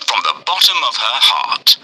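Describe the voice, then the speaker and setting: A man narrating a scripted children's fairy tale in an expressive, deliberate way. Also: medium pitch, rough recording. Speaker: storyteller.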